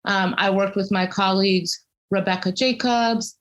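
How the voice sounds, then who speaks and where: A woman speaking as an interviewed cultural consultant and academic, giving articulate, measured, detailed explanations in a conversational way. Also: medium pitch, steady tone, fairly bright tone.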